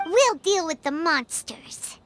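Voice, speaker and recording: Game companion role: tiny eager sprite, squeaky high and animated, light music underneath. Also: female voice, takes it slowly, slight background noise, full character voice. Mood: reassuring, chirpy. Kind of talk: video game voice acting.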